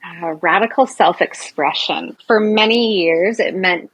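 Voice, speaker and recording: Husky-voiced woman in her forties, chatty. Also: fairly low pitch, a little slow, no room sound, poor audio. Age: 40s. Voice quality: loud voice. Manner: chatty, many fillers and restarts, animated. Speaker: nurse and Ayurveda practitioner, guest. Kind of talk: casual interview chat.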